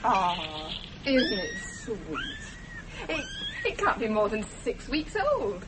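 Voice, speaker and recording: An actress playing a sweet, eager young newlywed wife in a radio play. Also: female voice, medium pitch, wildly swooping pitch, slow pace. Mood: charmed. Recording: noisy radio drama recording.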